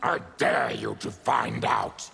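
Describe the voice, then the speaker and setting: An actor voicing a bitter, proud monster warrior in a fighting game, with a harsh raspy growl and grim, formal delivery. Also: male voice, on the low side, takes it slowly, sharp tone, heavily acted. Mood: hostile, grim. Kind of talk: dramatic video game dialogue.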